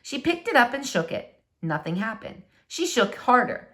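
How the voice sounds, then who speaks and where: A woman narrating a children's storybook aloud with expressive, dramatic, deliberate phrasing. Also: a little high. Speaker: storybook reader.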